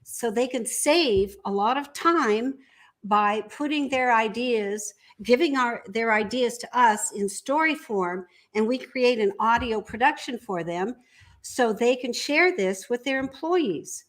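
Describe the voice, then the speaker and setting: A woman's voice: a little high, lively intonation, measured pace. A woman interviewed as an entrepreneur guest, explaining a business service in a conversational way.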